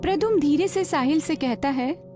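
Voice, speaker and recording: Woman narrator reading a story, high pitched voice, music playing behind. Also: normal speed. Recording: voice over background music.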